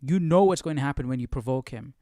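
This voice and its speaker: A man's voice: mid-range voice, fast talker, mellow, no echo, clean studio sound. A man hosting a live study stream, thinking aloud in casual commentary and often repeating and rephrasing himself.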